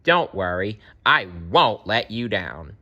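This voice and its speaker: A man's voice: lowish voice, very animated, takes it slowly, sharp tone, full character voice. A man voicing a kindly, worried male elephant in a read-aloud storybook, pleading and earnest.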